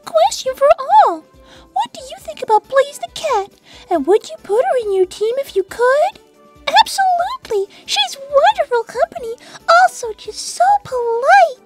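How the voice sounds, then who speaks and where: Super high squeaky lil bunny girl, cartoon, swoopy, so sweet and proper. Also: sing-song, normal speed, faint music behind. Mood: bright, admiring. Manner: prim, polite, childlike. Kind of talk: cartoon character answering fan questions.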